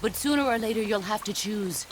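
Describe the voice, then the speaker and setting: A woman playing a stern, legendary soldier and former mentor in a video game cutscene, commanding, solemn and measured. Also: mid-range voice, a little hiss or hum, lightly acted. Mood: solemn, resolute. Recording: loud music and rain behind.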